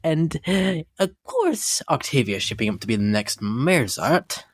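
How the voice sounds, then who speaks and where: A sadistic pony lady for a dramatic comic reading. She is theatrical and flirtatious, drawling her endearments with an unhinged edge. The pitch swoops wildly from low to high, and the sound is very dry. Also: very animated, measured pace, dry recording. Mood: playful, grandiose.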